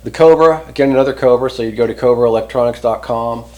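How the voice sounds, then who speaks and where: A man presenting a product review in casual, unscripted talk, rambling conversationally and often pausing to rephrase himself. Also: mid-range voice, a little mellow. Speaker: host reviewing CB radio antennas.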